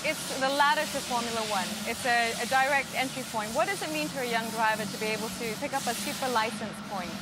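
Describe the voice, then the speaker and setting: A woman presenting and interviewing for a TV motorsport show, asking lively, quick questions. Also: a little high, noisy background.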